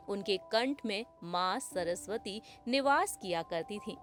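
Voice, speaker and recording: A woman presenter reading a scripted entertainment news report. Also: mid-range voice.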